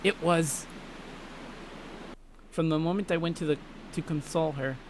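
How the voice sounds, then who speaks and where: A man's voice: fairly high pitch, steady tone, normal speed, a little hiss or hum. A man hosting a let's play, reading a visual novel aloud in a dramatic way and swearing casually.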